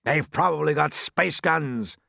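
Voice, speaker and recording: A man voicing a bossy, alarmed and gullible police chief in a loud storybook reading. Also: a little high, creaky, no room sound, clean studio sound, voice pushed up, exaggerated voice. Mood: wary. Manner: loud, commanding, drawn-out exclamations.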